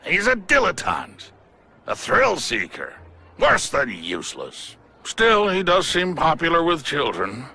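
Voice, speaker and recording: A smug, self-important tycoon in a video game cutscene. His delivery is sharp and forceful, with deliberate slow phrasing and a gravelly edge. He speaks formally, dripping with contempt and menace. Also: fairly high pitch, wildly swooping pitch, exaggerated voice. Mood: disdainful.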